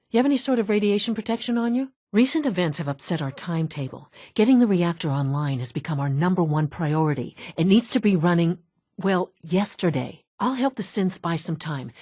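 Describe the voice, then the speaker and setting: A woman's voice: fairly low pitch, a little mellow, no echo. A woman playing a brusque, dry-witted scientist from an underground institute in a video game, businesslike and wry. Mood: businesslike, urgent. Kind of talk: video game character dialogue.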